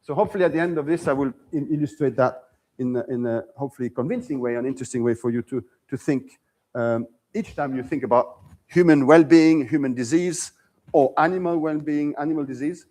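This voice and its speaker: A man's voice: medium pitch, a little fast, dark tone. A man giving an academic seminar lecture in a conversational way, often checking in with "okay?" and restarting phrases. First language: French. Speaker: visiting lecturer.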